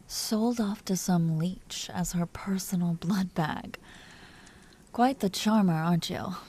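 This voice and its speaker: A woman playing an elegant vampire duchess in an audio roleplay, speaking softly with formal, languid, teasing courtesy and an amused, predatory air. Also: fairly low pitch, slow, long pauses, a little hiss or hum. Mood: amused, taunting. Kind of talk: dramatic roleplay audio performance.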